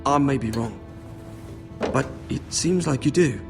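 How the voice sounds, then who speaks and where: A man's voice: mid-range voice, lively intonation, a little slow, vocal fry, over music. A man playing a humble, polite young servant boy in a medieval fantasy game, speaking in a deferential, hesitant way. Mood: confiding, cautious. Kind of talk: video game drama acting.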